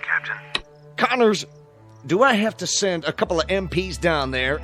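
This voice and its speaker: An actor playing a commanding army captain in a radio drama, authoritative, clipped and sardonic. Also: male voice, sits high, expressive, normal speed, sharp tone. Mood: exasperated, barking. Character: stern, exasperated, commanding male National Guard captain. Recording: music bed under radio drama dialogue.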